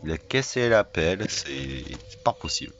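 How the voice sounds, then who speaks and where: Deep-voiced man with a cold. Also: sing-song, moderate pace, a bit bright, lo-fi sound, faint music behind. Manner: chatty, self-mocking, repeats phrases. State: has a cold. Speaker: gaming YouTuber. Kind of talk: casual let's play commentary.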